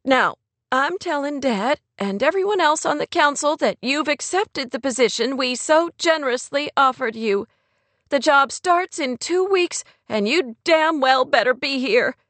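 A woman narrator acts out a distraught young woman from a romance audiobook. Her voice is pitched very high and sounds tearful and sharp. She pushes and demands with sarcastic, near-hysterical urgency, recorded dry. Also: animated, measured pace, no room sound. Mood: indignant, bossy. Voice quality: trembling, tearful.

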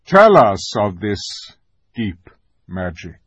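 Calm, commanding storybook lion king, a man acting it out: grave, weighty, husky, dry close sound. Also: fairly low pitch, dry recording, dull audio, exaggerated voice. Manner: solemn, measured, authoritative. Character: grave, majestic male lion, calm ruler. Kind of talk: audiobook character voice.

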